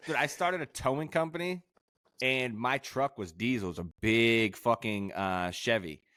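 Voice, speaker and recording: A man's voice: medium pitch, normal speed, fairly bright tone, no room sound, studio quality. A man co-hosting a podcast, casual and laid-back, telling stories with some swearing. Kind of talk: casual chat.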